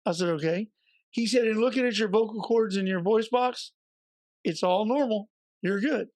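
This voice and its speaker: A man co-hosting a podcast, slightly hoarse and raspy, telling a story in a chatty way with joking asides. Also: high voice, normal speed, darkish tone, no echo. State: a little hoarse. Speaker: podcast co-host. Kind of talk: casual podcast chat.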